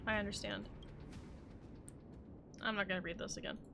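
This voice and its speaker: A female game streamer with a creaky, medium-pitched voice. She reads dialogue aloud in a casual livestream style, with faint noise in the background. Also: fairly even intonation, moderate pace.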